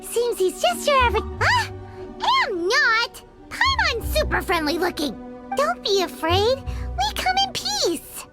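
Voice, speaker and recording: A woman voicing a tiny, excitable, childlike fairy companion in a video game, easily scared and quick to take offence. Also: very high-pitched, over loud music, heavily acted. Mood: friendly, indignant. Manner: refers to self in third person, indignant. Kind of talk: video game character acting.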